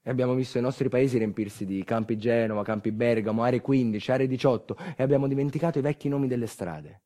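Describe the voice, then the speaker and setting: A man's voice: medium pitch, steady tone, fast, mellow, natural voice. An actor playing an earthquake survivor in a theatrical monologue, telling his town's bitter, nostalgic story in incantatory lists. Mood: bitter lament.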